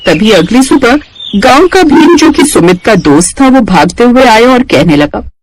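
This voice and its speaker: A man's voice: extremely high pitch, very animated, moderate pace, slight background noise. A man narrating a scripted story as a storyteller.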